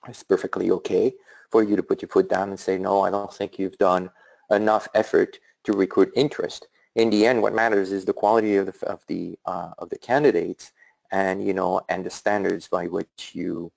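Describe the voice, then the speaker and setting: A middle-aged man with a European accent presents in a webinar, his voice deep and gravelly. He speaks thoughtfully and haltingly, with plenty of "uh"s and self-corrections. The sound is close and dry. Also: steady tone, a little mellow, no room sound, low-quality recording. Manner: thoughtful, halting, with frequent fillers and restarts. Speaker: academic leader presenting.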